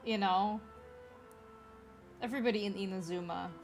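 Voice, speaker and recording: A woman's voice: medium pitch, fairly even intonation, normal speed, faint music behind. A woman from Belgium with a Flemish accent, whose first language is Dutch, chatting casually with her live-stream viewers and greeting them as she plays.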